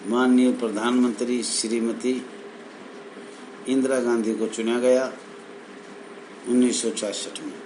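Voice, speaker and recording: A man reading official documents aloud and explaining them in a halting, phrase-by-phrase way. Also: medium pitch, fairly even intonation. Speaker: presenter explaining rules to fellow members. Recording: noticeable background noise.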